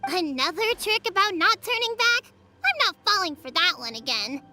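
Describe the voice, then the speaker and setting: A woman's voice: very high voice, sing-song, normal speed, music in the background. A woman voicing a blunt, sassy young girl in a video game, cheeky and dismissive. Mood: suspicious. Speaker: game character.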